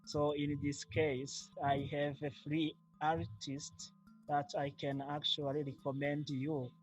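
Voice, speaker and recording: Slow-spoken man with a Rwandan accent. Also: on the high side, monotone, soft background music, low-quality recording. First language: Kinyarwanda. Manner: slow, measured, with frequent fillers. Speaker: interviewee. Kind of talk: casual interview.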